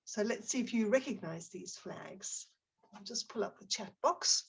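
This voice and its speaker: A woman's voice: mid-range voice, animated, moderate pace, vocal fry, husky. A woman with a South African accent presents a live online teaching webinar, prompting her audience and reading out their chat replies in a friendly way. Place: home office near Barcelona.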